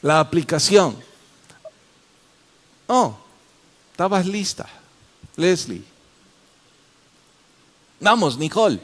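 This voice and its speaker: Man, pastor, Bible study teacher, slightly high-pitched, slow deliberate delivery, asks the class questions. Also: big pitch swings. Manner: questions the class and calls on students by name.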